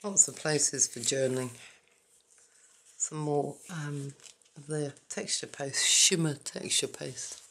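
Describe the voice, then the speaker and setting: A hoarse woman hosting a papercraft channel, quietly naming each item in a casual journal flip-through with a croaky voice. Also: sits low, slight background noise. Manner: quiet, halting, naming items one by one.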